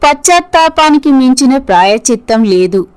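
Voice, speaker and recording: Woman playing a compassionate high-voiced mother. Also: lively intonation, normal speed, clear, resonant tone. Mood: forgiving. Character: compassionate middle-aged mother advising the young. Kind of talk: scripted moral-story voice acting.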